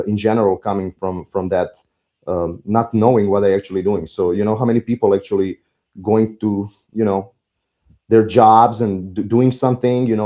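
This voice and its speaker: A middle-aged man with a Serbian accent, talking reflectively as an interview guest, halting and philosophical as he searches for words. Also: on the low side, dark tone. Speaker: podcast guest, musician.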